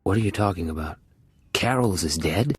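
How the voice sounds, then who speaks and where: An actor playing a cool, quietly menacing spy in a video game, with a husky voice and measured, controlled delivery. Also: male voice, sits low, lively intonation, no echo, clean studio sound, heavily acted. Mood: guarded. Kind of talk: dramatic video game acting.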